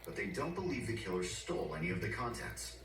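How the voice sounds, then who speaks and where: A man's voice: lowish voice, very animated, fast talker. A man reading scripted true-crime narration as a documentary narrator. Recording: echoey distant TV playback under loud music. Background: loud background music.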